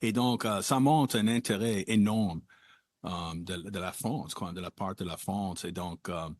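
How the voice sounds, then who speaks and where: A man hosting a conversational interview, talking in an animated way with wide jumps in pitch. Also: measured pace, no room sound, studio quality. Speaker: podcast host.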